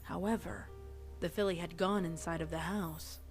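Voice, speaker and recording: A woman's voice: lowish voice, steady tone, normal speed, faint music behind. A woman reading fan fiction aloud as a storyteller, with casual reaction asides. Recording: faint background noise.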